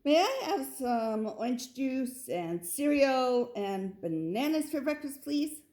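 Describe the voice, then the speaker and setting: Woman voicing a higher-pitched, fussy young boy goat. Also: very animated, slow pace, darkish tone, reverberant, exaggerated voice. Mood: earnest, pleading. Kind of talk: children's picture-book character voice.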